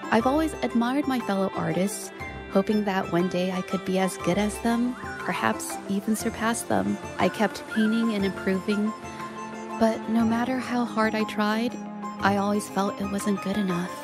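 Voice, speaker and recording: A woman's voice: mid-range voice, fairly even intonation, moderate pace, over music, natural voice. A woman voicing a shy, earnest, self-doubting young woman painter in a video game, hesitant and apologetic. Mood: wistful, sincere. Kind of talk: dramatic game voice acting.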